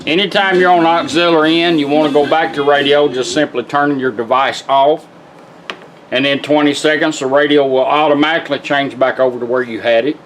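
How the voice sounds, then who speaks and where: A middle-aged man with a Southern American accent walks through a product in a casual, folksy style. His voice sits a little high for a man, and music plays behind him. He is plainspoken, like a tradesman showing his work. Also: fairly even intonation, fairly quick, fairly bright tone, low-quality recording. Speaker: radio restorer and product demonstrator. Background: music playing.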